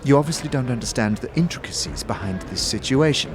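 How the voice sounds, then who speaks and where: A man's voice: mid-range voice, animated, a little fast, close to an everyday voice. A man voicing a gleeful, haughty and vindictive elven noblewoman in a fantasy audio drama, with a theatrical, disdainful manner. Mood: disdainful, indignant. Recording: music behind the voice.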